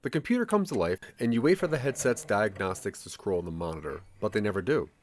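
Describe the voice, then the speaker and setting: A man with a fairly low voice narrates a scripted story to the listener. His intonation is lively and varied, in the style of audio drama storytelling. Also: quick pace, slight background noise.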